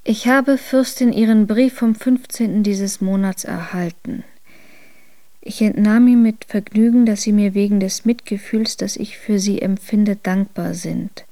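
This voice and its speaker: A woman reading historical letters in a radio drama, in a formal, measured way. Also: medium pitch, steady tone, warm tone, no room sound, lightly acted. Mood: formal, cautioning. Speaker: letter reader.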